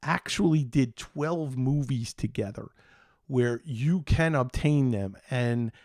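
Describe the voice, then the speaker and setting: A middle-aged man hosting a tech show, chatting casually to viewers in a rambling, halting, enthusiastic way. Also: mid-range voice, darkish tone, no echo, clean studio sound. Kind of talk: casual solo chat to viewers.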